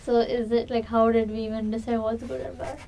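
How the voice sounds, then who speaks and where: A woman's voice: fairly high pitch, level tone, brisk, gravelly, warm tone. A woman in a casual discussion, reasoning aloud and asking questions, recorded at some distance. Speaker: participant in an unscripted discussion. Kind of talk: casual chat. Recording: distant mic with background noise.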